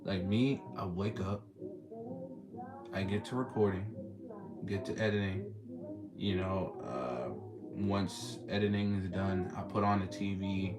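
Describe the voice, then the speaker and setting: A man's voice: sits low, a little slow, long pauses. A young man with a Texan accent, hosting a casual live stream and chatting with callers in a laid-back, slangy way. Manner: laid-back, slangy, reading and answering chat. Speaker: streamer host. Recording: loud music playing behind.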